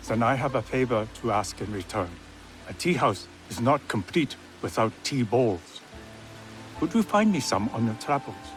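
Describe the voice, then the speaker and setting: Game role: refined, genial tea master, male actor, measured yet expressive, faint music behind. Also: mid-range voice, lightly acted.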